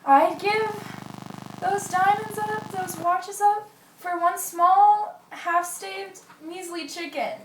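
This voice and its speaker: A woman's voice: very high voice, fairly even intonation, slow, recorded from a distance. A woman playing a fearful, pious and superstitious male ship's cook in an amateur radio play. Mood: nervous, reluctant. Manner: fearful, pleading. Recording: echoey room, off mic, faint noise.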